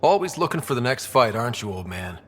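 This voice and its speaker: An actor playing a young, easygoing and wry explorer hero in a video game, with a husky voice. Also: male voice, medium pitch, very animated, fast, a bit bright, in a large hall. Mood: upbeat, teasing.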